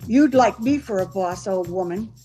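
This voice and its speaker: A woman's voice: mid-range voice, lively intonation, normal speed, soft background music, close to an everyday voice. An actress playing a domineering, hard-headed, scornful woman, bossy and impatient, in the style of a 1930s radio horror play. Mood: condescending. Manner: bossy, sharp-tongued, impatient.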